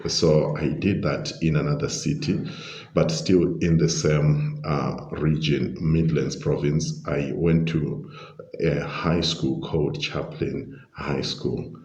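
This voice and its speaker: The senior guest at a podcast table in a roomy restaurant, a Zimbabwean man explaining things genially in a very deep, unhurried voice. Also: fairly even intonation, a little mellow. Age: middle-aged. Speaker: podcast guest, leadership coach and pastor. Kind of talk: conversational interview answers. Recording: dull, roomy, echoing sound.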